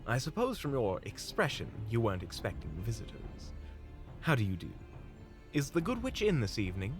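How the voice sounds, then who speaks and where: A genteel male stranger, acted by a man, speaks with mock-heroic grandeur and polished courtesy. His mid-pitched voice swoops animatedly over prominent music, in the manner of a fantasy audio drama. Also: huge pitch swings, normal speed. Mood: amused, courtly.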